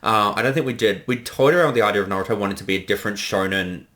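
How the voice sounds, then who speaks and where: A man's voice: fairly low pitch, very fast, a bit bright. A man giving casual, unscripted creator commentary, rambling and often correcting himself as he goes.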